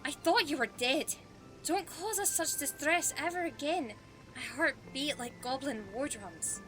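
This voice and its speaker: A woman's voice: sits high, a little slow, music in the background. A woman playing an emotional, scolding ranger in a fantasy audio drama. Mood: relieved scolding.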